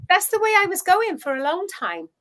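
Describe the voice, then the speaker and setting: A Welsh woman in her fifties, a coach telling her career story in a chatty, animated way as an interview guest. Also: extremely high pitch, moderate pace. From Wales. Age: 50s. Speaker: guest, food relationship coach. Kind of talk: casual interview chat.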